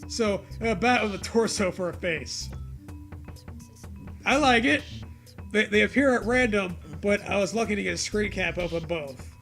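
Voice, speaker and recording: A man's voice: high voice, moderate pace, a bit bright, soft background music. A man reading a story aloud for comedy, breaking off to swear and riff casually.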